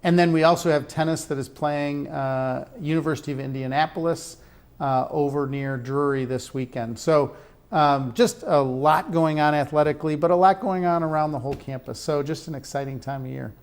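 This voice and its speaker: Slightly high-voiced relaxed male co-host. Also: moderate pace. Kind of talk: casual chat.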